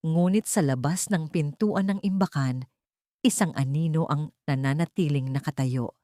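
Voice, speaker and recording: Woman narrating a dramatic story, low mellow voice, very dry sound. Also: normal speed, no echo.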